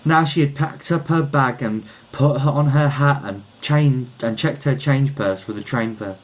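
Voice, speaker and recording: A man's voice: mid-range voice, unhurried. A man reading a literary short story aloud as its narrator. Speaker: story reader.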